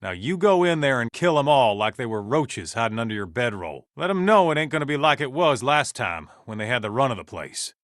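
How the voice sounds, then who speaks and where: An actor playing a gruff, stern survivalist camp leader in a video game, with an American accent and a folksy, blunt, commanding way of talking. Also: male voice, medium pitch, big pitch swings, brisk, few pauses, a bit bright, no room sound, studio quality. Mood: grim, businesslike. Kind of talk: video game character dialogue.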